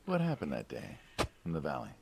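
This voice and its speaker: Mobster in a game, very low creaky voice, wry, inquisitive, drawling sarcasm, noisy outdoor background. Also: male voice, huge pitch swings, a little fast, exaggerated voice. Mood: probing, needling. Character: needling, inquisitive middle-aged mob hitman, old friend. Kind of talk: naturalistic video game dialogue.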